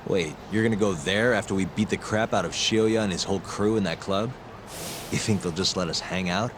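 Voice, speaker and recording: An actor playing a cool, self-assured detective in a video game, speaking in a dry, understated way. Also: male voice, deep voice, fairly quick, background noise, close to an everyday voice. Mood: wary. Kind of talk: English video game voice acting. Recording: music under the voice.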